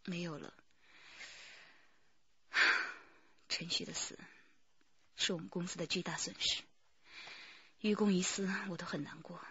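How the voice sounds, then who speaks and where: An actress playing a poised, guarded company manager in a radio drama, with a breathy voice and careful delivery. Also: female voice, on the low side, fairly even intonation, unhurried, long pauses, lo-fi sound, background noise. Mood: solemn.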